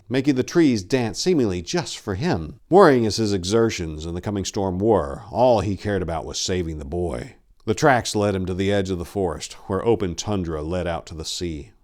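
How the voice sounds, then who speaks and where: Male narrator, deep pitch, grave, intense, theatrical, dark fantasy horror audiobook.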